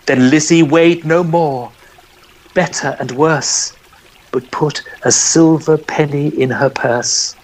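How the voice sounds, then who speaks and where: A man narrating a poem in a theatrical, measured storytelling style. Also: a little high, fairly even intonation, rough recording. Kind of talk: dramatic poetry reading, storytelling.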